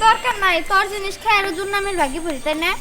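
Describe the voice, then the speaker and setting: Woman as a high-voiced, outspoken village girl. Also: rapid-fire. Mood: scornful. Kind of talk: fairy-tale drama dialogue. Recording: music behind the voice.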